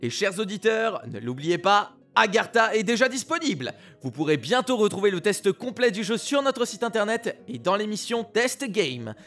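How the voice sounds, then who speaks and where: An actor playing an excitable, gushing male presenter of a video game radio show in an audio drama. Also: high-pitched, moderate pace, few pauses, faint music behind. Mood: grateful, upbeat.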